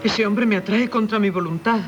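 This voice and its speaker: An actress playing an anguished, spellbound wife pleading helplessly in a Castilian Spanish radio drama. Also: female voice, medium pitch, brisk, music in the background, lightly acted. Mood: desperate. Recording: muffled, very noisy old radio recording.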